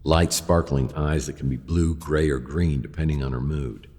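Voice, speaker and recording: A man playing a wry, world-weary private detective, narrating his case deadpan in a hardboiled radio drama. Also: extremely low pitch, normal speed, in a large hall, faint music behind. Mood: wry, puzzled. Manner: deadpan, sardonic.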